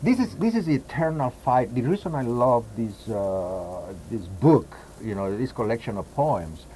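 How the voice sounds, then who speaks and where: A man talks casually as an interview guest, haltingly, restarting phrases as he searches for words. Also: mid-range voice, expressive, dark tone, rough recording. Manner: halting, searching for words with repeated false starts. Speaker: guest, poetry reader. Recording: clear background noise and ambience.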